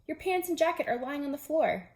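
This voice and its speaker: A woman's voice: high-pitched, sing-song, a little fast, voice pushed up. A woman voicing a sensible male frog from a children's storybook, a practical friend who speaks in a firm, matter-of-fact way. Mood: chiding. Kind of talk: children's storybook character reading.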